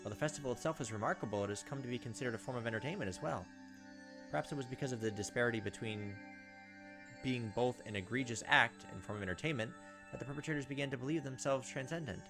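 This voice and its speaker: A man's voice: medium pitch, music in the background. A man reading visual novel text aloud as a let's play host, moving between narration and casual asides. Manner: pauses to comment on the text.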